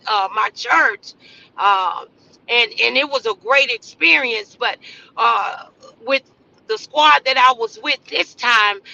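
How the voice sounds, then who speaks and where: A woman hosting a motivational faith podcast, speaking off the cuff in an earnest, halting, preacherly way. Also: a little high, creaky, pushed and bright, lo-fi sound. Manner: preacherly, earnest, halting delivery with repeated phrases. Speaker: host of a motivational talk podcast. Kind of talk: inspirational faith talk, loosely improvised.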